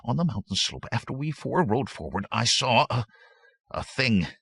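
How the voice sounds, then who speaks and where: A man's voice: mid-range voice, sing-song, moderate pace, gravelly, fairly bright tone, slightly rough, no echo, studio quality, voice pushed up, heavily acted. A man voicing a nervous, cowardly bandit tracker in an audiobook, protesting fearfully. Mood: fearful, fervent. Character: nervous, cowardly, pinch-faced male bandit tracker, defensive.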